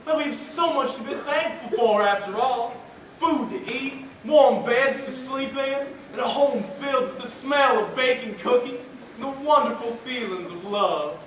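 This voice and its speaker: A woman's voice: sits high, normal speed, close to an everyday voice. A teenage girl playing a sweet, naive, earnest young daughter in a stage melodrama. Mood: sweetly cheerful. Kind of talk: high school stage melodrama. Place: large school theatre stage, filmed from afar. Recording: echoey auditorium from far off, faint noise.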